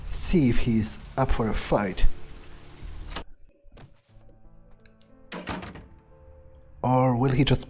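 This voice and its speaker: Guy doing a cold grandiose vampire plotting for a game, slow talker, faint music behind. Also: mid-range voice, dark tone, close to an everyday voice. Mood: calculating, musing. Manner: brooding, deliberate, grandiose, with trailing pauses. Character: power-hungry, menacing male vampire, musing coldly on revenge. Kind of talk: in-character game playthrough monologue.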